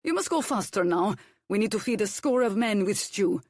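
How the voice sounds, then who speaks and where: A man's voice: high voice, lively intonation, normal speed, a little mellow, no echo, clean studio sound. A woman voicing a blunt, bossy male ship's cook who speaks broken English, in a storybook reading. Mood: matter-of-fact. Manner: broken English, curt commands.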